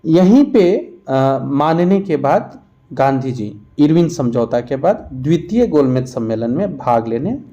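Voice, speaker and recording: A man's voice: medium pitch, expressive, unhurried, mellow, highs cut off. A man teaching an exam revision lesson, reading out questions and explaining each answer. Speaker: teacher for competitive exam preparation.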